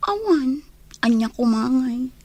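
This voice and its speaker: A woman's voice: high voice, animated, takes it slowly, a little mellow, full character voice. An actress playing a troubled, evasive young single woman in a radio drama, with a Filipino accent. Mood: heartsick, evasive. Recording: noticeable background noise.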